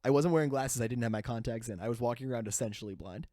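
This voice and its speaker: A man's voice: medium pitch, rapid-fire, dark tone, no room sound, studio quality. A man in his twenties co-hosting a podcast, rambling and self-deprecating with many restarts. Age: 20s. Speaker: podcast co-host. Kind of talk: casual banter.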